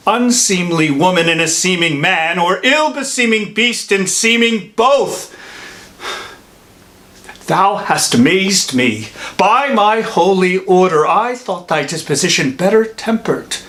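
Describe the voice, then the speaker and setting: Casting: male actor as elderly holy friar for classical stage monologue. Higher male voice, unhurried theatrical verse, sage and admonishing. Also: fairly bright tone. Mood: stern, outraged. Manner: deliberate, measured, theatrical verse delivery. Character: old holy friar, wise, reflective, scolding mentor.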